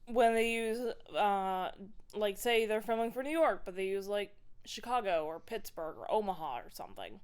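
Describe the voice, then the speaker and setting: A woman's voice: mid-range voice, lively intonation, normal speed, clean tone. A woman co-hosting a podcast in casual chat, chatty and roundabout, often chiming in. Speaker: podcast co-host.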